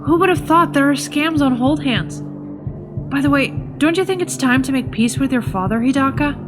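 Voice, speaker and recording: High-voiced, fretful boy idol voiced by a woman. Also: moderate pace, lightly acted. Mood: earnest, cautioning. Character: fretful, earnest teenage boy idol, polite and cautious. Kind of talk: anime fan dub acting. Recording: music under the voice.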